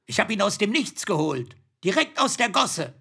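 An actor playing a shady, sentimental old businessman in a radio play, raspy and self-important, with drawn-out theatrical delivery. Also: sits high, very animated, bright, forceful tone, full character voice. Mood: proud, sentimental. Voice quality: raspy, creaky.

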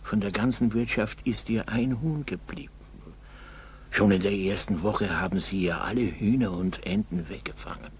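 An actor playing a kindly old Russian village grandfather in a 1960s radio play, chatty, coaxing and husky. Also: on the low side, a little hiss or hum, natural voice. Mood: amused storytelling. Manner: folksy, coaxing, halting storytelling. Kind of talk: 1960s German school radio play acting.

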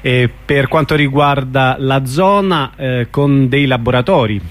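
A man's voice: medium pitch, measured pace. Man interviewing on radio. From Rome, Italy.

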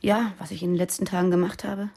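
An actress playing an uneasy young militant woman confiding secrets in a radio drama, hushed, urgent and earnest. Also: lowish voice, level tone, fast talker, creaky, breathy edge. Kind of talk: German radio drama dialogue.

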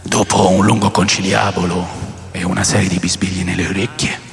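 Gravelly male radio drama narrator, long reverb. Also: low-pitched, little pitch movement, a little fast. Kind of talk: first-person dramatic scripted narration. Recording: long reverb, music underneath.

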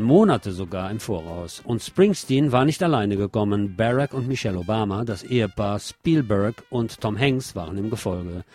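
A man's voice: lowish voice, a little mellow. A man hosting a radio magazine, reading scripted commentary with wry, opinionated asides and emphatic delivery. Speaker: radio show host. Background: music under the voice.

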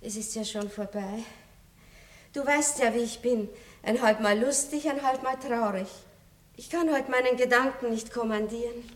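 An actress playing an aging noble princess in a radio play, melancholy and tender. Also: female voice, on the high side, moderate pace, close to an everyday voice. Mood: tender, teasing. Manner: melancholy, drops to a whisper in reflection. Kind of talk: 1960s radio play acting.

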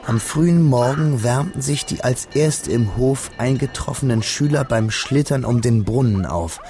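An actor playing a reflective man who narrates his schoolboy memories in a radio drama, in a measured, intimate, literary manner. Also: mid-range voice, lively intonation, mellow, soft background music, close to an everyday voice. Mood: pensive. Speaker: first-person narrator.

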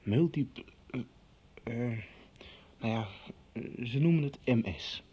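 An actor in a radio soap playing an earnest young man, probing and confiding, with a husky voice. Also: mid-range voice, slow, warm tone, highs cut off. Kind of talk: 1990s Dutch radio soap acting.

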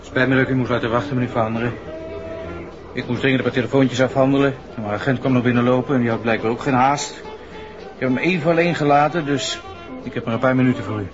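An actor plays a nervy male entertainer in a 1960s radio play, polite but stubbornly evasive. He speaks haltingly at a middle pitch. Music plays clearly behind the muffled old recording. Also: fairly even intonation, lightly acted. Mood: apologetic, hurried. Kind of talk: 1960s Dutch radio drama acting.

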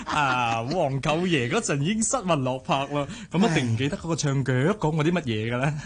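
An actor playing an eager, slow-witted young nephew in a Cantonese radio drama, husky, hesitant and fawning. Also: male voice, fairly high pitch, animated. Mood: curious, hesitant.

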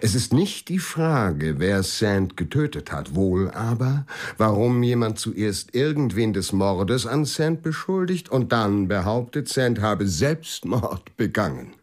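An actor playing a candid, thoughtful companion to a detective in a German radio play, reasoning frankly step by step. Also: male voice, fairly low pitch, big pitch swings, slow, hardly any pauses, a little mellow, full character voice. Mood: candid, reflective.

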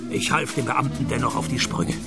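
An actor playing a laconic, world-weary private detective with dry, deadpan delivery in a hard-boiled radio play. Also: male voice, mid-range voice, a little fast, creaky, loud music behind the voice, close to an everyday voice. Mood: wry, weary.

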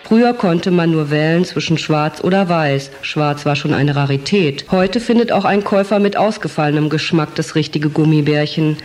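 A man with a fairly high voice narrates from a script. His delivery is mock-serious, suited to a radio collage. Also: moderate pace, hardly any pauses, darkish tone, soft background music.

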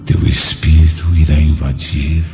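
An actor playing the gentle, solemn ghost of a dead Roman senator in a radio drama. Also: male voice, a bass voice, little pitch movement. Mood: solemn, tender. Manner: slow, solemn, prophetic. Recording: dull lo-fi audio with music behind.

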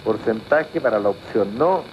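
A man's voice: fairly low pitch, expressive, moderate pace, slight background noise. Man announcing on a dull radio broadcast. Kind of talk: formal announcement of results.

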